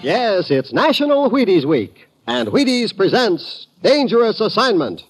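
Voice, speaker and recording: An American man announcing old-time radio with dramatic flourish. His voice is high and lively as he proclaims over loud music. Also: unhurried. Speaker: show announcer.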